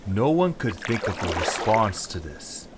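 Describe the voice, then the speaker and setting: Low-voiced man narrating a story. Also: big pitch swings, a little slow. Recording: noisy home recording.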